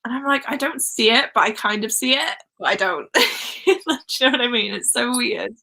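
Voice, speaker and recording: A young woman from Wales with a Welsh accent, Welsh her first language, chatting excitedly on a live stream. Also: a little high, brisk, gravelly. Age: young adult. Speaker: host. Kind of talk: casual live-stream chat.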